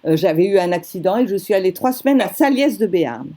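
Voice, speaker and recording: A woman interviewing an author over a video call, chatty and quick to agree. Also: mid-range voice, expressive. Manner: warm-hearted, chatty, lots of quick agreements.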